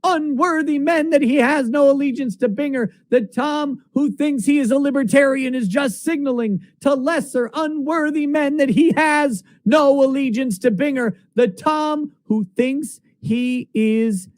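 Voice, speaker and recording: High-voiced man reading text aloud. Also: unhurried, few pauses, no room sound. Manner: reads written text aloud, with occasional stumbles and restarts. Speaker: reader. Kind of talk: reading a book page aloud.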